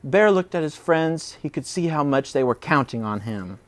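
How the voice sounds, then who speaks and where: A man reading a children's story aloud in an expressive, dramatic way. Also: on the high side, normal speed. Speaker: preschool storybook reader. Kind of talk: animated children's story narration.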